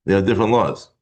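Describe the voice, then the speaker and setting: A hoarse middle-aged man teaching a Talmud class in a halting way, often clearing his throat. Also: sits low. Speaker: Torah teacher.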